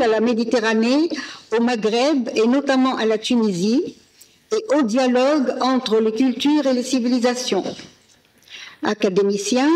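A woman moderator reading a speaker's biography from notes at a desk mic, with pauses and fillers. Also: a little high, a little mellow, rough recording. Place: ornate hall indoors. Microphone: desk gooseneck mic.

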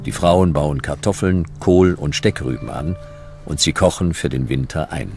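A man reading scripted history narration as a documentary narrator, in measured, dramatic short sentences. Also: very deep voice, gravelly, music in the background.

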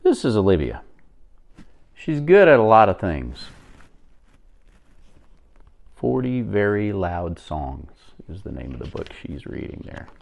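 A man in his forties reading a picture book aloud to young children, expressively and with deliberate pauses. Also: on the low side, very animated, vocal fry, a little mellow, lo-fi sound.

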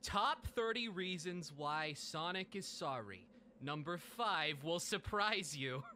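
A man's voice: a little high, animated, slow pace, pushed and bright, a little hiss or hum, full character voice. A man voicing a cocky, whiny young cartoon hedgehog hero in an improvised comedy dub, with music behind him.

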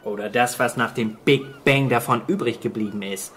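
An actor playing a wry island scientist in a naturalistic radio drama, laconic and factual. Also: male voice, medium pitch, moderate pace, a bit bright, close to an everyday voice. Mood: grim, explaining. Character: dry, wry male island marine scientist.

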